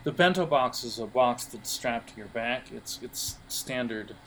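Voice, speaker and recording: A man narrating an improvised tabletop role-playing game as game master, often halting as he thinks aloud. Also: medium pitch, animated, fairly bright tone, slight background noise, low-quality recording. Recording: music playing under the voice.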